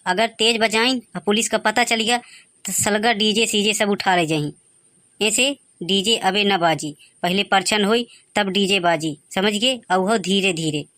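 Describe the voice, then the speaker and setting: A man voicing a brash young village fellow in a Bagheli comedy cartoon, with a high boyish voice, a Bagheli accent and a pushy, argumentative way of talking. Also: measured pace, bright, forceful tone, no echo. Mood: warning. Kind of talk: dialect comedy cartoon voice acting.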